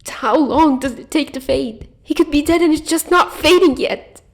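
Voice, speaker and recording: A woman's voice: high-pitched, pitched above the performer's own voice. Woman as a tearful, breathless young boy, pleading. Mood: anguished. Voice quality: hoarse, scratchy, breathy. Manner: halting, shaky, desperate. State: crying, gasping. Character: distraught young boy, tearful, pleading for his friend's life.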